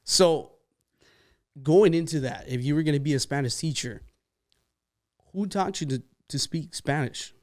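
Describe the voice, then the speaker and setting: A man's voice: on the high side, unhurried, long pauses. A man hosting a podcast interview in a studio. He talks in an upbeat, chatty way and often echoes his guest's answers back. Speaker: podcast host. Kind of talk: casual interview chat.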